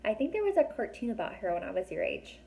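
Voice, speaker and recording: A woman reading a children's story aloud, pausing to ask young listeners questions and explain things in a chatty way. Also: mid-range voice, very animated, gravelly, warm tone. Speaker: teacher or reader reading a children's book aloud.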